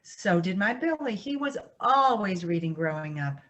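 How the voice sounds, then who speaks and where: A kindly, gushing old lady , acted by a woman in a staged reading. She is genteel and gentle, with a medium-pitched voice. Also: sing-song, moderate pace. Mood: kindly, fond.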